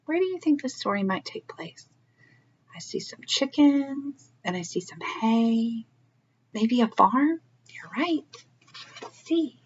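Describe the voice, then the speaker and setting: Animated, high-voiced woman reading to children. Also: slow pace, husky, dull audio. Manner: asks listeners questions, explains to young children. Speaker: early learning read-aloud host. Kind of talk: children's storybook read-aloud.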